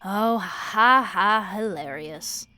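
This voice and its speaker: Soft-spoken, weary female roommate, acted by a woman. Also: mid-range voice, no echo. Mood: teasing, easygoing. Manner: soft-spoken, casual, halting, dry teasing. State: sleepy, weary. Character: tired, wry, sleepless female roommate, bantering fondly. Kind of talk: soft-spoken audio roleplay acting.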